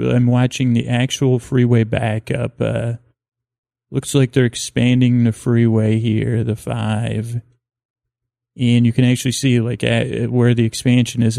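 A gravelly man hosts a bedtime podcast from a hotel room. His voice is mellow and fairly flat, and he meanders haltingly, doubling back on words and letting sentences trail off. The sound is close and dry. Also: medium pitch, dry recording. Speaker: podcast host. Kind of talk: rambling bedtime monologue for sleep. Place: hotel room with freeway outside.

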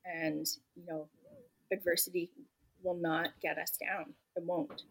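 A woman's voice: on the low side, steady tone, unhurried, vocal fry, slight background noise. A woman author being interviewed, speaking earnestly and emphatically, often saying "you know". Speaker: guest author. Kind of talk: podcast interview answers.

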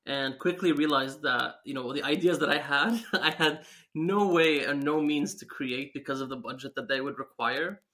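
A man's voice: on the high side, normal speed, hardly any pauses, sharp tone. A man in his twenties from Syria, a guest talking eagerly with a light laugh about how he got into making comics. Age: 20s. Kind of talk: casual interview chat.

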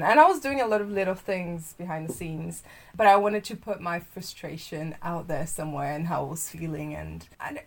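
A young woman chatting as a podcast guest. She is bubbly, laughs often and rambles with plenty of fillers. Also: fairly low pitch. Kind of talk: casual interview chat.

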